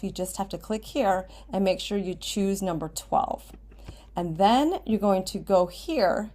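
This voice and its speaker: An American woman with a medium-pitched voice talks as a writing teacher in a screen tutorial. She gives simple, step-by-step instructions and often checks in with a short okay. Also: a little mellow. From Washington State, United States. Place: indoors at a computer, screen recording with webcam.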